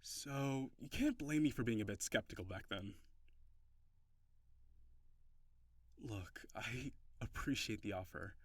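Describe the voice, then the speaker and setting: Man playing an animated young runaway-noble pirate. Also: on the low side, normal speed, dry recording. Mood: earnest, hesitant. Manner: teasing, flustered protests with hesitations. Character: young runaway nobleman turned pirate, playful, defensive, fond. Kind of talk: intimate audio roleplay acting, one side of a dialogue.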